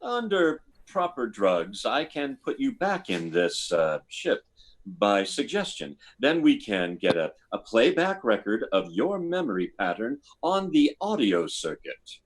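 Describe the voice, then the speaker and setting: An actor playing a hesitant, probing army psychiatrist in an old-time radio science fiction drama, stammering and deliberate. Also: male voice, mid-range voice, wildly swooping pitch. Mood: hesitant, clinical.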